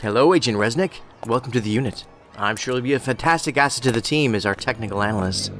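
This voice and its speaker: A man's voice: medium pitch, fast talker, fairly bright tone, faint music behind, lightly acted. A man playing a quirky, tactless federal agent in an audio drama, talking in a rambling, pedantic, matter-of-fact way. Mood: teasing, casual.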